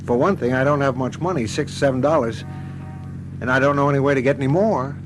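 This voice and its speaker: An actor playing a bewildered, practical husband in a radio drama, grimly frustrated and resigned. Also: male voice, mid-range voice, fast talker, music in the background, close to an everyday voice, low-quality recording. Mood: doubtful, resigned. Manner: grim, frustrated. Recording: car engine noise behind the voice.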